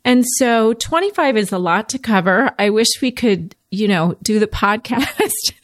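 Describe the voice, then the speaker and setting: A woman hosting a podcast interview, friendly and quick to laugh. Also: fairly high pitch, animated, no echo, clean studio sound. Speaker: podcast host. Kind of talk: conversational interview.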